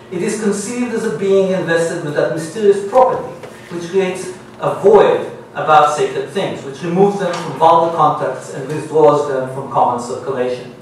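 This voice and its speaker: A man's voice: a little high, slight background noise. Israeli academic man lecturing in an echoey hall. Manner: measured, lecturing, reads quotations aloud. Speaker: panelist. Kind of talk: academic panel talk. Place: university seminar room, panel table. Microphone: gooseneck table mic. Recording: very echoey room, mic at a distance.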